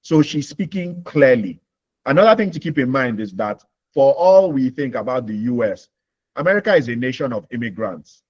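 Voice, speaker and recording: A man's voice: medium pitch, lively intonation, moderate pace, lo-fi sound. A Nigerian man hosting a live career Q&A webinar, explaining with homely examples and checking that listeners follow. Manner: explains with homely examples, repeats points, asks "you understand?". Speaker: webinar host.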